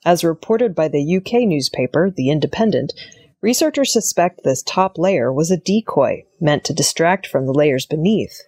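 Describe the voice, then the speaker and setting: A woman's voice: deep voice, expressive, moderate pace, darkish tone, no echo. A woman reading scripted news narration as an audio news presenter. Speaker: news reader.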